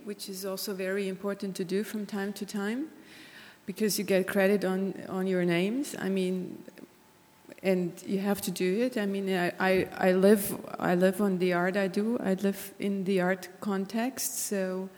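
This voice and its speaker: A woman's voice: medium pitch, little pitch movement, measured pace, a little mellow. A woman artist speaking on a panel, searching for words with many restarts. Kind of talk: panel discussion. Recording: long hall reverb.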